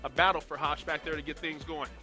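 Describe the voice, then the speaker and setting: A young man giving relaxed, chatty analysis as color commentator at a high school football game. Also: quick pace, soft background music.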